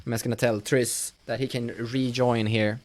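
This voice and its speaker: A man's voice: mid-range voice, level tone, moderate pace, a little hiss or hum. A man acting as game master on a live stream, narrating casually and often backtracking mid-sentence.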